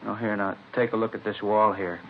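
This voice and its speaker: An actor playing an eager, deferential police officer in a 1950s radio drama, hesitant but helpful. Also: male voice, lowish voice, little pitch movement, brisk, natural voice. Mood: eager, explanatory. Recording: dull old radio recording with hiss.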